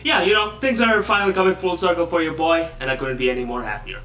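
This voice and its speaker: A man's voice: fairly high pitch, fast talker, a bit bright. A young man from Bangladesh, a guest in a casual online interview, joking and self-deprecating as he rambles. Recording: roomy echo, distant from mic.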